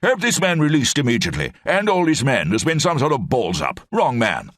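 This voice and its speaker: A man's voice: medium pitch, wildly swooping pitch, fairly quick, fairly bright tone, no echo, studio quality, pitched above the performer's own voice. A man voicing an elderly, bumbling brigadier with clipped, rambling upper-class speech. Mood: commanding.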